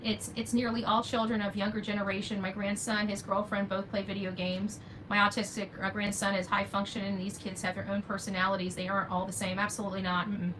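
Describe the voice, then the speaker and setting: A woman's voice: mid-range voice, a bit bright, a little hiss or hum, rough recording. An older woman hosting unscripted opinion commentary, emphatic and repeating key phrases for stress. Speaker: true-crime commentary host.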